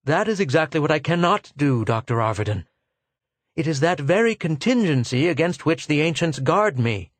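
A man's voice: fairly high pitch, very animated, normal speed, no echo, voice pushed up. A man voicing a weary, haggard older physicist who speaks in a grim, earnest way.

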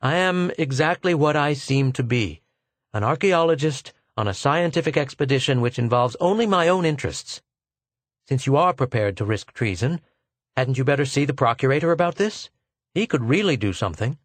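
A man's voice: mid-range voice, lively intonation, moderate pace, dry recording, pitched above the performer's own voice, lightly acted. A man voicing a frank male archaeologist who speaks bluntly and gets exasperated easily.

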